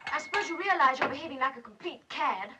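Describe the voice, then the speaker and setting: An actress playing an indignant young Englishwoman with an English accent, speaking in sharp, clipped tones in a 1930s film. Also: sits high, lively intonation, fast, heavy background noise. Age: young adult.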